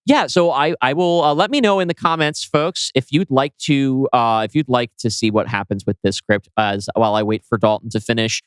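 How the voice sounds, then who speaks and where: A man's voice: medium pitch, normal speed, few pauses, clear, resonant tone, no echo. A man co-hosting a podcast, explaining his ideas tentatively in a casual workshop discussion. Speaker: podcast co-host and scriptwriter.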